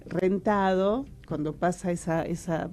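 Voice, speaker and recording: Lowish-voiced Argentine actress, rambling and conversational. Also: female voice, fairly even intonation, measured pace, a little mellow. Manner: rambling, conversational, hesitant with repeated words. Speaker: interview guest, actress and writer. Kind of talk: casual radio interview.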